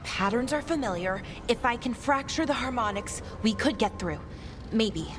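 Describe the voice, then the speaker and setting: An actress playing a young female elven mage in a video game, earnest, nervous and a little halting. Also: fairly high pitch, animated, over music, lightly acted. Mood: anxious concern. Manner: hesitant, halting. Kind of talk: video game drama acting.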